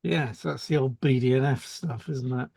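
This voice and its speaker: A man's voice: mid-range voice, normal speed, gravelly, warm tone, husky, dry recording, clean studio sound. A man co-hosting a podcast, reading explanatory script and introducing topics with short conversational links. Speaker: podcast co-host, hypnotherapist.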